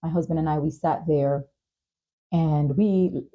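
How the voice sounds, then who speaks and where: A woman's voice: sits low, fairly even intonation, measured pace, dull audio. A woman hosting a talk show, speaking empathetically in an interview conversation and affirming what the guest says. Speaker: talk show and podcast host.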